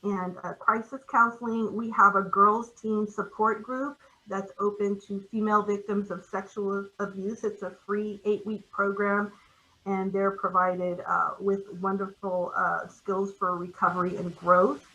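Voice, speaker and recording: A woman presenting community services informally on a video call, hesitant, with frequent pauses and ums. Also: mid-range voice, little pitch movement, bright, forceful tone. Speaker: community organization representative. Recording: dull, lo-fi video call audio.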